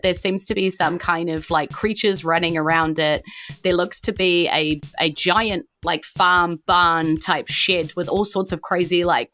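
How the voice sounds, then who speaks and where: Casting: female game master, New Zealand accent, slightly low voice, informal improvised storytelling over music. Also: a bit bright. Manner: informal, hesitant, loosely descriptive. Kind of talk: improvised tabletop game narration.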